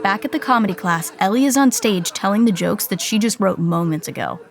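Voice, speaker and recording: A woman's voice: mid-range voice, expressive, brisk. A woman reading scripted comedic narration for a sitcom. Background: music.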